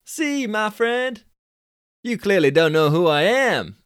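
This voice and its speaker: A man's voice: sits high, big pitch swings, takes it slowly, a bit bright, clear, resonant tone, no echo, studio quality, voice pushed up, full character voice. A man voicing a brash young American snowboarding champion with a put-on Californian accent and a boastful surfer-dude drawl. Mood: cocky.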